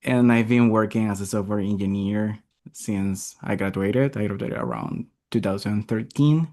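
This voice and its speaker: Man from Venezuela, mellow tone, thoughtful, pauses and restarts, video call guest. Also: fairly low pitch. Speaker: guest software engineer. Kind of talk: casual interview answers.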